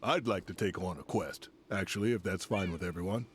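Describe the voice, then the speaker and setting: An actor playing a dignified, courteous senior commander in a video game, speaking formally and with measure. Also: male voice, deep voice, a bit bright, a little hiss or hum. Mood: gracious, calm. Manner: formal, measured, stately praise. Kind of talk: video game cutscene acting.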